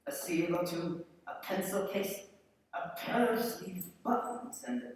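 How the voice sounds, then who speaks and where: A stingy old rogue of a dealer in a radio play, heard in a big echoing hall. He haggles slowly, with oily mock gallantry. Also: sits high, a little hiss or hum. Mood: stingy appraising. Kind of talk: live radio play acting. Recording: echoey hall, far from the mic.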